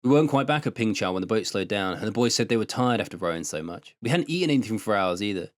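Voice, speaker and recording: A man narrating a first-person short story in an expressive, conversational way. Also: on the low side, quick pace, no room sound, studio quality. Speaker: narrator and translator.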